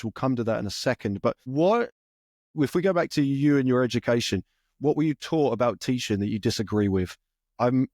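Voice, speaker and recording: A man hosting a podcast interview, asking questions casually, with restarts and warm affirmations. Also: medium pitch, no echo, studio quality. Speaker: podcast host.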